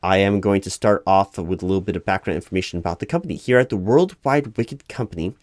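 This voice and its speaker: Low-voiced man as a halting, officious interviewer. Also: a little mellow, no echo. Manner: halting, officious, patiently explanatory. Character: flustered, officious male recruiting manager at a company. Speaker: job interviewer in a one-sided roleplay. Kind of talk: loud-spoken ASMR roleplay, improvised interview.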